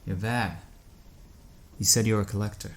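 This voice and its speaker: A man acting in an audio drama as a lonely, heartsick driver lost at night, speaking in a halting, questioning way. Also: fairly low pitch, fairly even intonation, lightly acted. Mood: hesitant, wary.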